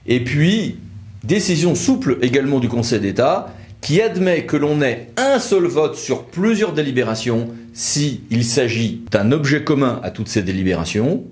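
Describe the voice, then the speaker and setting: A male host with a voice of average pitch. He presents in a scripted briefing style and puts formal questions as an interviewer. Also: a little mellow.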